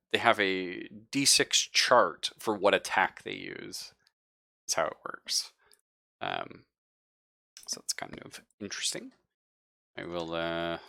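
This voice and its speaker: Male game master, low register, slow delivery, slangy and profane live narration, close dry sound. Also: gravelly, bright, forceful tone, no echo.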